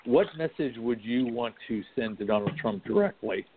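A man's voice: medium pitch, expressive, normal speed. A man hosting an interview show, asking questions in a hesitant way with many ums. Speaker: host. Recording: tinny phone-like line, faint noise.

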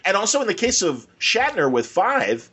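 A man's voice: high voice, wildly swooping pitch, moderate pace, pushed and bright, slightly rough. A man hosting a podcast, chatting casually about films in an enthusiastic, rambling way and slipping into imagined quotes. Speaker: podcast host.

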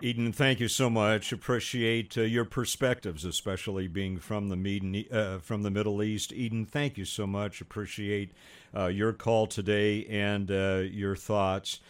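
A man's voice: fairly low pitch, fairly even intonation. Earnest male talk radio host. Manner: earnest, measured, frequent "uh" pauses.

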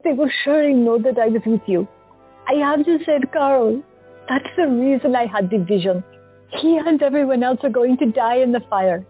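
An earnest female patient who has visions pleads about a fate she is sure of, as a woman plays her. Her voice is high. The sound is thin and tinny, with soft music behind it. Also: moderate pace, lightly acted. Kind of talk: audio drama acting.

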